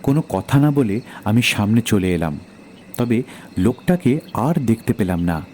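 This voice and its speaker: Expressive male horror storyteller with swooping pitch. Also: unhurried, a little hiss or hum. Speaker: storyteller, narrator. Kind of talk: dramatic first-person horror story narration.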